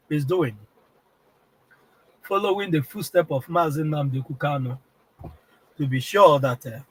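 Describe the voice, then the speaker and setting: A Nigerian man with a heavy cold and a strained throat, hosting a political live-stream broadcast and clearing his throat often. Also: on the high side, no room sound, rough recording. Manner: keeps clearing his throat, repetitive and insistent.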